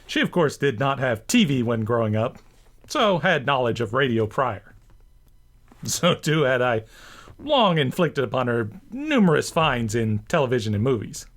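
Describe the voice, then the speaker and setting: A man's voice: fairly high pitch, fairly bright tone. A man hosting a show with scripted commentary, speaking in wordy, bookish phrasing. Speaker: host of a rant and commentary show. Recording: music behind the voice. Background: background music.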